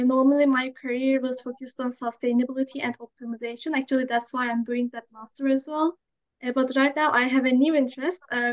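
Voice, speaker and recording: High-voiced young woman on a call. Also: poor audio. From Turkey. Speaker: student. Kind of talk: self-introduction on a video call. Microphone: video call.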